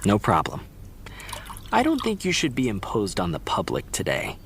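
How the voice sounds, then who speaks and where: A man's voice: fairly low pitch, huge pitch swings, moderate pace, heavy background noise. A man playing an easygoing, teasing, sympathetic friend in a naturalistic audio drama, with casual banter. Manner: casual, bantering, hesitant when disagreeing.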